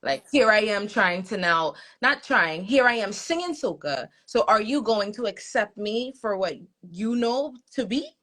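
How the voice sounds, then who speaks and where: A young American woman, a soca artist guest, chatting casually with many ums and quick laughs. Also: mid-range voice, lively intonation, fairly bright tone. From United States. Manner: chatty, laughs easily, thinks aloud with ums. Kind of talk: casual interview chat.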